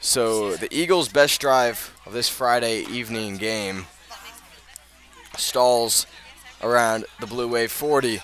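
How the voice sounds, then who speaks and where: A man doing live radio play-by-play of high school football, often halting and correcting himself as he goes. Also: fairly bright tone. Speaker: play-by-play sports announcer.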